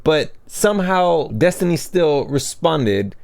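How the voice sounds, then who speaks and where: A man's voice: on the high side, animated, slow pace, gravelly, darkish tone. A man narrating online commentary in a casual, conversational way, as a video essayist would.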